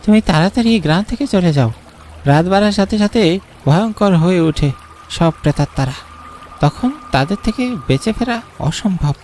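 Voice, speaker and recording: Man playing a high-pitched, solemn mysterious boatman. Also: animated, moderate pace, darkish tone, slight background noise. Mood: urgent warning. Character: mysterious village boatman, knowing, urgent and solemn. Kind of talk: cartoon horror story acting.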